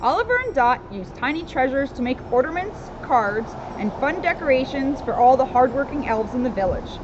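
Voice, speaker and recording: A woman's voice: high voice, big pitch swings, normal speed. A woman reading a children's storybook aloud with casual asides, recorded outdoors. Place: outdoors in a town street. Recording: dull outdoor recording with loud street noise.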